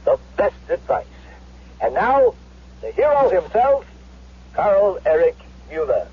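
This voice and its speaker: Radio drama role: a zealous rally announcer, high, slow and swelling, tinny old broadcast. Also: male voice, sing-song, husky, full character voice. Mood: fervent, proud. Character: fervent announcer hailing a Nazi hero. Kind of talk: 1970s radio drama acting.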